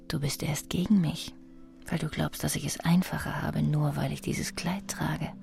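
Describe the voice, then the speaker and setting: A woman's voice: low voice, fairly even intonation, normal speed, creaky, darkish tone, soft background music. An actress playing a seductive, taunting woman in an intimate radio play, provocative and half-whispering.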